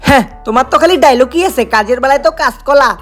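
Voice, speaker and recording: A woman voicing all the characters of a cartoon story, playful and quick to switch between childlike figures. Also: high voice. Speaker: cartoon voice artist and channel narrator. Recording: over loud background music.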